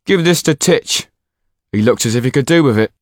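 A man's voice: medium pitch, expressive, fairly quick, dry recording, studio quality, pitched above the performer's own voice, natural voice. A man doing a jolly, mocking bald fellow. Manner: mocking, laughing.

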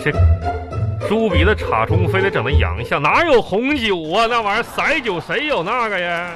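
A man's voice: sits high, big pitch swings, moderate pace, over music. A man playing a grumbling, middle-aged husband in a comedy radio drama, with a Northeastern Chinese accent and a stammering, repetitive way of complaining. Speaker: radio show host and comedy actor.

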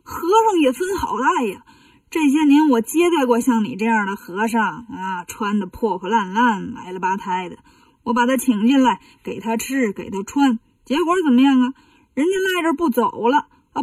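A woman's voice: on the high side, expressive, a little fast, darkish tone, dry recording, recorded from a distance. A woman voicing a grumpy, snobbish old male head monk who grumbles dismissively in a storybook tale. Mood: grumbling.

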